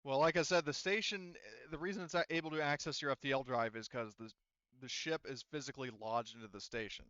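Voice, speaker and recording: A man with a clear, smooth voice at an ordinary pitch works as a game master. He explains the state of a tabletop game to players, repeating and rewording as he goes. The recording is dry and close. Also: bright, forceful tone, no echo, lo-fi sound.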